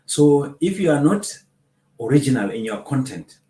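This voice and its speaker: A man, a film producer and festival organizer, explaining his points in a measured way with hesitant pauses. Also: mid-range voice, sing-song, mellow, reverberant. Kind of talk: interview answers.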